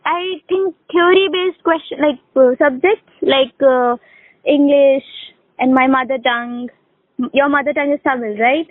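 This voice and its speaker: A woman's voice: high-pitched, unhurried, dry recording. A woman with an Indian accent, a native Urdu speaker, chatting casually as an English conversation tutor. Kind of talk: casual one-to-one lesson chat. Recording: dry, narrow phone-line sound.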